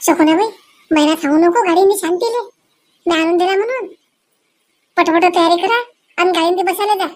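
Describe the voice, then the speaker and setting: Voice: very high-pitched, moderate pace, long pauses. A performer voicing a flustered, evasive village husband in a comedy cartoon, with a Varhadi Marathi accent, hurriedly repeating words. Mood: bossy, hurried. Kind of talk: exaggerated cartoon comedy dialogue.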